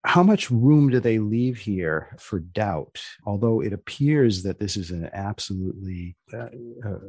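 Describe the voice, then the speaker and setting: A man hosting a podcast, putting questions to a guest in a deliberate, wry, halting conversational way. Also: lowish voice, a little mellow, slightly rough, no echo. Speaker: podcast host interviewing a guest.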